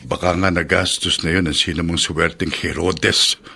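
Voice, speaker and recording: An actor playing a pessimistic hospital patient who frets over money, in a radio drama. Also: male voice, low-pitched, fairly even intonation, moderate pace, gravelly, bright, forceful tone, slightly rough, heavily acted. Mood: worried, bitter.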